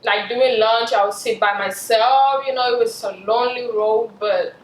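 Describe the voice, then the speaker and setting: A woman's voice: fairly high pitch, fairly even intonation, normal speed. A young woman with a Cameroonian accent, chatting casually as a guest on a vlog. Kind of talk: casual chat. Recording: echoey room, distant mic, background noise.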